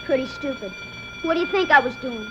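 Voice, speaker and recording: A woman's voice: high-pitched, animated, brisk. A woman playing a defiant, cocky small teenage boy with sullen, snappy American backtalk in a 1950s radio drama. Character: defiant, cocky small fourteen-year-old boy thief. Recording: muffled vintage radio recording with noise.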